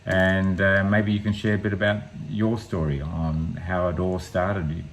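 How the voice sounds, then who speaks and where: Host: man, low voice, relaxed and encouraging interviewer. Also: measured pace, a little hiss or hum. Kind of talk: video call interview.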